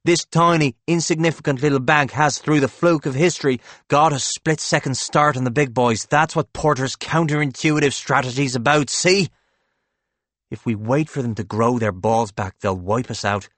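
A man voicing a brash, foul-mouthed, excitable male banker in an audiobook, talking emphatically and impatiently like an evangelist for his schemes. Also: on the low side, moderate pace, hardly any pauses, no room sound, natural voice. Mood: eager, impatient. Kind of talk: audiobook character dialogue.

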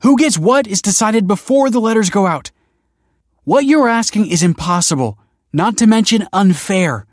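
A man's voice: fairly low pitch, normal speed, breathy edge, dry recording, clean studio sound. A man voicing a firm, tense married woman in an audiobook, insistent and emphatic. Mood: exasperated. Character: firm, tense wife, a fertility director.

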